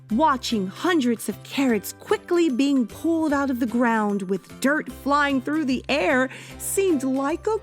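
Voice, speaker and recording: Female picture-book storyteller, unhurried and very animated delivery, children's music underneath. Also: on the high side. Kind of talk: expressive read-aloud of children's picture book.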